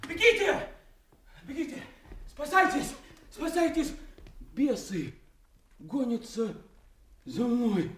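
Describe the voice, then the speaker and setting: An actor playing a frantic, pitiful mad beggar haunted by demons, rambling and chanting in a theatrical radio play. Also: male voice, extremely high pitch, sing-song, slow, pauses often, a bit bright, reverberant, a little hiss or hum, heavily acted. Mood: frantic terror. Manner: rambling, chanting, erratic outbursts. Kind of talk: theatrical radio drama acting.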